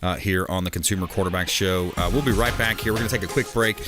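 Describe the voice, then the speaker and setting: Casting: low-voiced man, upbeat radio host reading ads, with loud music underneath. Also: fast talker. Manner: upbeat, promotional. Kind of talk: radio hosting and ad reads.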